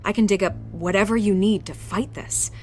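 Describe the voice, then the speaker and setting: An actress playing a confident, persuasive newspaper reporter in a video game, assured and coaxing. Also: female voice, mid-range voice, normal speed, a little mellow, lightly acted.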